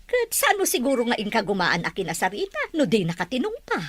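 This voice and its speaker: A woman's voice: on the high side, huge pitch swings, quick pace, a little hiss or hum. A woman with an Ilocano accent playing an affectionate, teasing middle-aged mother in a radio drama.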